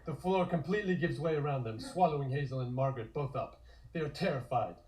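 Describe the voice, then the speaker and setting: A man's voice: mid-range voice, quick pace, a little mellow. A man narrating scripted scenes in the present tense for a comic table read.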